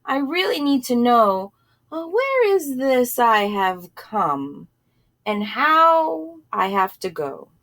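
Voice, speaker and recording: Woman as a storybook young wanderer, bewildered, earnest, questioning, high, swooping intonation. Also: sing-song, slow pace, fairly bright tone, exaggerated voice. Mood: pleading. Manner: pleading, questioning. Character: bewildered, earnest young traveller lost in a dream.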